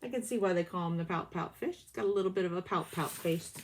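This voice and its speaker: A woman's voice: fairly low pitch, quick pace, slight background noise. A woman teacher reading a picture book aloud, adding chatty comments between the pages.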